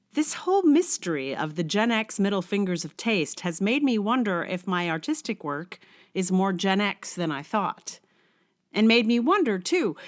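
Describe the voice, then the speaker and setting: A middle-aged woman with a congested, cold-affected voice, hosting a podcast in a wry, conversational way. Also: mid-range voice. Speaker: podcast host. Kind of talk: casual intro, then reading own blog essay aloud.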